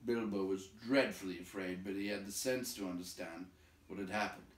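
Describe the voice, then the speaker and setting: A man reading a story aloud in an expressive, deliberate, dramatic narration. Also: sits low. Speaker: storybook reader. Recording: roomy, distant, faint background noise.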